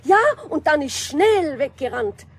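An actress playing a nosy, suspicious caretaker with a Bavarian accent, speaking insistently in a radio play. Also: female voice, high-pitched, lively intonation, a little slow. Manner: emphatic, insistent. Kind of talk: 1970s radio play acting.

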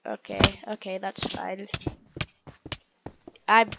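A woman's voice: medium pitch, level tone, slow pace, gravelly, heavy background noise. A young woman with a stuffy, sick-sounding voice giving casual commentary while playing a game, cheering and groaning at what happens. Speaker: gaming video creator.

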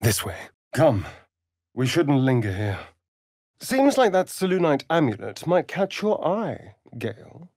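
A flamboyant male vampire rogue for a fantasy video game. He speaks in an aristocratic British accent, arch and sardonic, stretching his words at a leisurely pace with swooping, highly varied intonation. The sound is close and dry. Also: very animated, measured pace, darkish tone, no echo, exaggerated voice. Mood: brisk, wry. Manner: arch, theatrical, drawling, aristocratic delivery. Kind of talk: theatrical game character dialogue.

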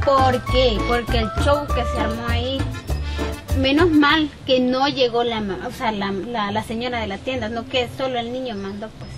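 A woman's voice: on the high side, lightly acted. A young Salvadoran woman playing a worried, indignant farm owner in an amateur sketch, emphatic and repeating herself as she explains. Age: young adult. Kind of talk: amateur improvised sketch acting. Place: outdoors in a rural yard. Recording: outdoor camera sound, faint ambient noise.

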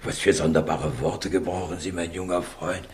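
An actor playing a frail, courteous old professor in a 1960s radio crime drama, speaking in a halting, patronizing way. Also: male voice, low-pitched, level tone, a little mellow, slight background noise, natural voice. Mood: evasive. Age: older.

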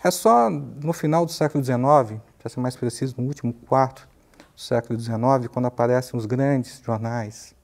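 Brazilian guy in his 50s, prof being interviewed, mellow voice, explains stuff carefully, pauses hunting for words. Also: mid-range voice, creaky. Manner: measured, halting, searching for words. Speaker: university professor, interview guest. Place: TV studio. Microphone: lapel mic.

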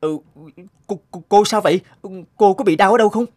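A man voicing a kind, hardworking young fisherman, earnest and expressive, in an animated fairy-tale dub. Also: high voice, brisk. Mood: gentle, concerned. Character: kind, hardworking young fisherman-farmer, anxious but steady.

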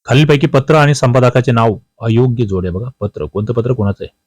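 A man's voice: mid-range voice, fast talker, darkish tone, no echo. A man teaching exam history, reading out quiz questions, prompting with questions and repeating the key answers.

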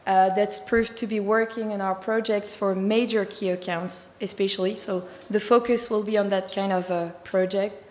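A woman with a slightly hoarse, tiring voice giving a conference talk, fluent but with many fillers. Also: medium pitch, steady tone, moderate pace, hardly any pauses, darkish tone. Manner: fluent, filler-heavy explaining. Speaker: conference speaker. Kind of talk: conference presentation. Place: large conference hall. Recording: echoey hall with long reverb.

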